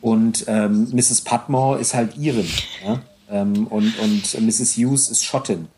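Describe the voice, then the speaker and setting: Mellow, husky-voiced man chatting. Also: fairly low pitch, steady tone, slow pace. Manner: rambling, frequent restarts and hesitations. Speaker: podcast co-host. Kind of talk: casual podcast chat.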